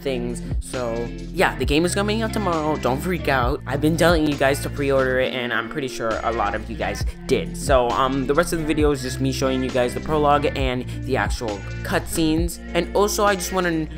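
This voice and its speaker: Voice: medium pitch, lively intonation, brisk, few pauses, over music. A woman giving a casual video intro as a gaming creator.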